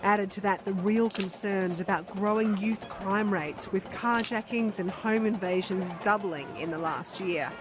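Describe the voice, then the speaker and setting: Serious woman reporter. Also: mid-range voice. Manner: measured, serious. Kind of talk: scripted news narration.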